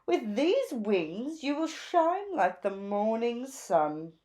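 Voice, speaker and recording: Woman voicing a flamboyant, bossy bird stylist, swooping high. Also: very animated, a little mellow. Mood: gushing. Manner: theatrical, gushing, drawn-out emphatic words. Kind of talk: children's storybook character voice.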